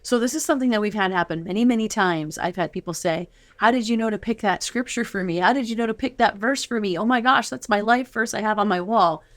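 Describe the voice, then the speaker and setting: A woman's voice: mid-range voice, quick pace, hardly any pauses, dry recording. A middle-aged woman hosting a casual, heartfelt studio interview in an encouraging, affirming way. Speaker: show host and mother of the guests.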